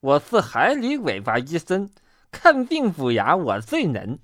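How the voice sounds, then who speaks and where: Jolly beaver dentist, acted by a storybook narrator, boastful, jaunty, self-satisfied, animated pitch. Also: a little slow, no echo, studio quality, heavily acted. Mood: jolly, welcoming. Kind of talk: children's storybook character voice.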